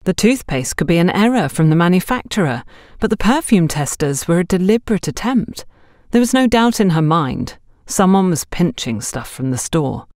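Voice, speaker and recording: A woman narrates a scripted story in a low-pitched voice. Her reading is lively and varied in pitch, with a dry, close sound. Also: moderate pace, dry recording. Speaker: audiobook narrator.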